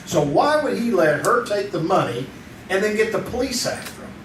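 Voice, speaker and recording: An actor playing a skeptical, wisecracking newspaper photographer in an old-time radio drama. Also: male voice, high-pitched, sing-song, normal speed. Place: community theater stage. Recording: roomy, noisy, distant live stage recording.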